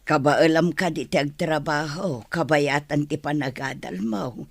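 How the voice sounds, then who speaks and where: A man plays a gentle village parent in a radio drama. The voice is fairly high and dryly recorded, earnest and full of moral advice. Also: measured pace, gravelly, no room sound. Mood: caring. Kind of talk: Ilocano radio drama acting.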